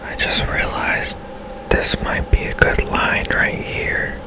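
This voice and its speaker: Male ASMR gaming streamer; breathy whisper throughout, slow, musing play-by-play. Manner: unhurried, hushed musing. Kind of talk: whispered ASMR game commentary.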